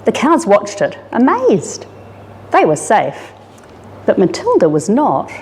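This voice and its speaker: Expressive woman storyteller in reverberant room. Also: medium pitch, slow pace, slight background noise. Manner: dramatic, animated storytelling. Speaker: story reader, disaster resilience officer. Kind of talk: expressive children's picture-book reading.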